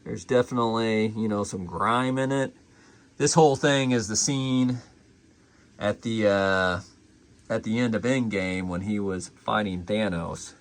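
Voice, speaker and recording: A man's voice: lowish voice, unhurried. A man casually reviewing a collectible in an unscripted, conversational way.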